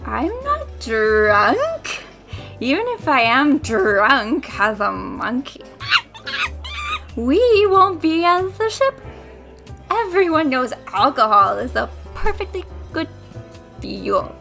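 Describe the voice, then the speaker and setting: An actress playing a brash, tipsy barfly in an audio drama, with languid, drawn-out delivery. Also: female voice, high voice, sing-song, vocal fry, over music, heavily acted. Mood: tipsy, breezy.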